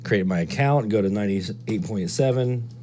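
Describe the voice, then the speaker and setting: A man's voice: fairly low pitch, normal speed, mellow, a little hiss or hum. A man presenting a casual screen-walkthrough tutorial, thinking aloud step by step. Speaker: presenter of a radio DXing tutorial.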